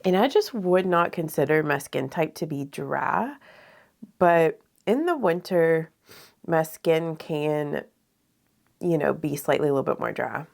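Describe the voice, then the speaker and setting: A woman's voice: low voice, lively intonation, a little slow, creaky, a little mellow. Congested woman chatting about her makeup. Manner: chatty, lots of "like" fillers. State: slightly congested, nasal. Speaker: beauty vlogger. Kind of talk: casual get-ready-with-me talk.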